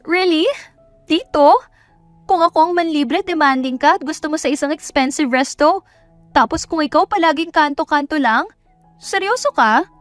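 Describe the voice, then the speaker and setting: A woman's voice: high voice, moderate pace, no room sound, faint music behind, voice pushed up, close to an everyday voice. A woman voicing a haughty, sassy young woman in an audio romance, sarcastic and dramatic, mixing Tagalog and English. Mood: annoyed, sarcastic. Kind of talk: audio romance story character voice.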